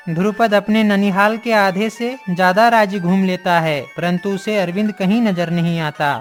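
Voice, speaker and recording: A male narrator reads a scripted cartoon moral tale. His voice is high for a man and has faint music beneath it.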